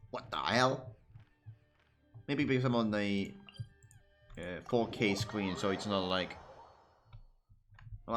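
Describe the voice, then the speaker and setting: A man streaming a game with casual commentary, full of blunt, profane, muttered asides. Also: on the low side.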